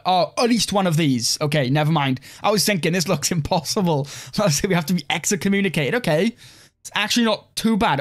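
A young man from Wales, casually commentating his strategy game live and chatting with viewers, rambling and filling his talk with "like.". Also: high-pitched. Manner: relaxed, rambling, heavy use of "like". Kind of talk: live-stream gameplay commentary, reading chat.

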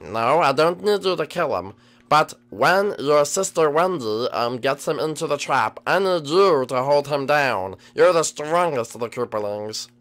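A man's voice: on the high side, expressive, unhurried, fairly bright tone, faint music behind. A man voicing a whiny, bratty young male turtle prince who stammers and hesitates.

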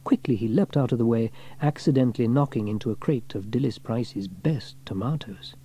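A man narrating a scripted children's story as an audiobook reader, with expressive storytelling delivery. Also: medium pitch, normal speed, breathy edge.

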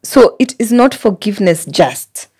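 A woman in her thirties with a Kenyan accent, talking as a podcast guest in an emphatic, reflective way, pausing to search for words. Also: mid-range voice, lively intonation, darkish tone, husky. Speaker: podcast guest, public theologian. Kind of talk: casual podcast conversation. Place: small indoor podcast studio. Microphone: close boom-arm studio microphone.

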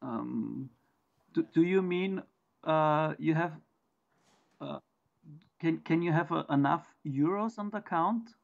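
A man answering a conference question in halting English, with many pauses and restarts. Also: on the high side, vocal fry, no echo, phone-quality sound. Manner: hesitant, halting non-native English. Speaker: remote presenter answering questions.

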